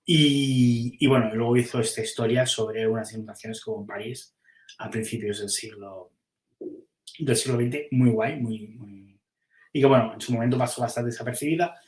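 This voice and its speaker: A man's voice: medium pitch, steady tone, moderate pace, echoey room, rough recording. A man, a comics publisher, chatting casually on a podcast and thinking aloud as he weighs which titles to publish, with frequent restarts.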